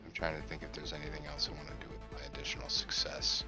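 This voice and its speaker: Very deep-voiced man at gaming table. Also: monotone, quick pace, music in the background. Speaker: player. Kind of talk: casual tabletop game chat.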